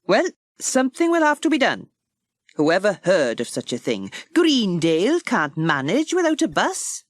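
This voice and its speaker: Village doctor, played by a woman: confident, bossy, decisive storybook lady with dramatically swooping pitch. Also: wildly swooping pitch, measured pace, no room sound, clean studio sound. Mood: indignant. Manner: forthright, decisive. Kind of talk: children's storybook dialogue.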